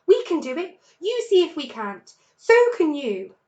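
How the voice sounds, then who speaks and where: Woman putting on eager high-pitched little fox cubs. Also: very animated, unhurried, mellow, lo-fi sound. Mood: eager, excited. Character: eager, pleading young fox cubs, starving but plucky. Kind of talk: children's storybook read-aloud.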